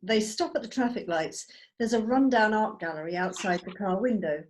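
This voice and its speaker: Woman narrating in a medium-pitched voice. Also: expressive, moderate pace, a little hiss or hum. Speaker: narrator reading stage directions. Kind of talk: scripted narration. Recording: video call.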